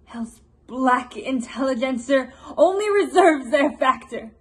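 A woman's voice: high-pitched, expressive, a little slow. A teenage woman acting a vengeful, grieving queen in a declamatory, impassioned Shakespearean monologue. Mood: bitter, gloating. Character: vengeful grieving old queen, cursing her enemies.

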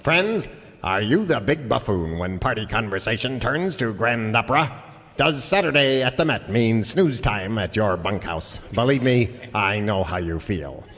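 Homespun frontier patriarch, low voice, radio sketch comedy, long hall reverb. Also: male voice, animated, unhurried, a bit bright. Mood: pompous pitchman. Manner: drawling, homespun. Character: folksy, patronizing old-West family patriarch and huckster.

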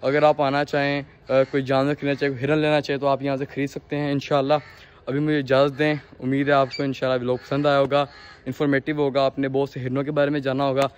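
A young man with a Pakistani accent, talking casually and haltingly to viewers as a farm-owning vlogger, outdoors on a phone. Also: medium pitch, level tone, slight background noise. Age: young adult. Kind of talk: casual vlog tour, explaining to viewers. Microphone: handheld phone at arm's length.

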